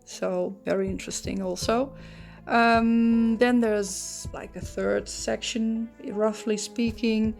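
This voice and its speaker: A woman's voice: fairly high pitch, fairly even intonation, slow pace, vocal fry, a little mellow, soft background music. A woman from the Netherlands with a strong Dutch accent, narrating a casual book review and thinking aloud as she goes. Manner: chatty, thinking aloud with frequent ums and restarts. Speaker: true crime channel host.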